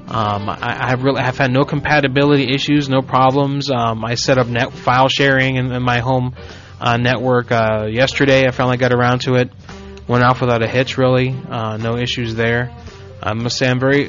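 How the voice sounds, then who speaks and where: A man from Puerto Rico with a Puerto Rican accent, hosting a casual internet radio show, rambling and joking with frequent restarts. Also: medium pitch. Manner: rambling, self-correcting, joking. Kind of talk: casual talk radio chat. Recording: dull lo-fi stream audio.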